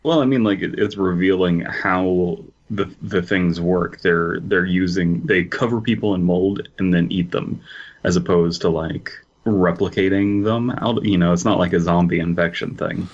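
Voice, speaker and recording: Very deep-voiced man, dull lo-fi mic. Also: moderate pace. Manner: hesitant, restarts often. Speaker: podcast co-host. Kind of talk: casual critique chat.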